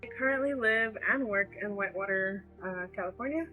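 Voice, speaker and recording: A woman in her twenties speaks in a measured, reflective way, pausing now and then as she gathers her thoughts. Soft music plays quietly beneath her voice. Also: mid-range voice, rough recording. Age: 20s. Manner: thoughtful, with frequent fillers. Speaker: interviewee. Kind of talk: documentary interview.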